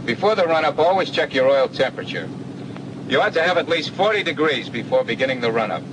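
Brisk, practical male flight instructor, played by an actor, 1940s training film, fairly high voice, noisy cockpit. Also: lively intonation, natural voice. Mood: instructive. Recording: old film soundtrack, loud cockpit engine drone.

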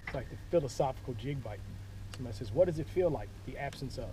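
Male angler, American, lively higher voice, casual vlog delivery, clear outdoor boat noise. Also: fast talker. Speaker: angler vlogging a fishing trip. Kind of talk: casual chat while fishing. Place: outdoors on a small boat on a lake. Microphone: action camera mounted on the boat. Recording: noisy outdoor boat action-camera audio.

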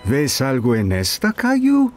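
A patient young children's cartoon father. His intonation swings widely and he takes his time, gently encouraging and reassuring. Light music runs behind the voice. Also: medium pitch, huge pitch swings, unhurried. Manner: gentle, encouraging, sing-song explaining.

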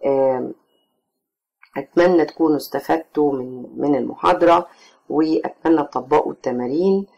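A lecturer with an Egyptian accent and a tired, strained voice gives closing remarks to a recorded lesson, halting with many filler sounds. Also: mid-range voice, vocal fry, darkish tone, highs cut off. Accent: Egyptian Arabic. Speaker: course lecturer. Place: voice-over, not recorded in the pictured classroom.

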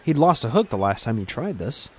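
A man's voice: mid-range voice, sing-song, a little fast. A man reading a scripted fantasy adventure as a dramatic narrator. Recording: clear background ambient noise.

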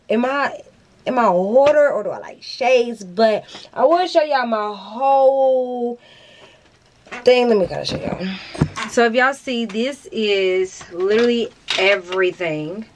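A young woman hosting a casual haul vlog, bubbly and slangy, talking straight to her viewers from a walk-in closet. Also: mid-range voice. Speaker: beauty vlogger host. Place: walk-in closet with shoe shelves, indoors. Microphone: camera in front at close range.

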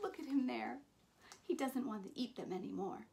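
A woman in her thirties reading a children's picture book aloud in an animated, deliberate way with dramatic emphasis. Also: fairly high pitch, creaky, slight background noise. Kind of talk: expressive children's story reading.

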